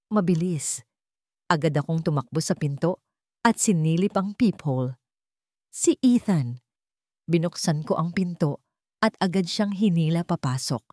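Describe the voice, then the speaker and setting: Woman, lower-pitched voice, dry close sound, dramatic audiobook narration. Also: dark tone, no echo. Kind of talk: dramatic first-person scripted narration.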